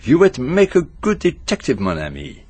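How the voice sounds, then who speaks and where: An actor playing a shrewd, courteous foreign detective in a radio drama, with a French accent and a deliberate, probing manner. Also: male voice, medium pitch, huge pitch swings, a little mellow, dry recording. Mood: intrigued.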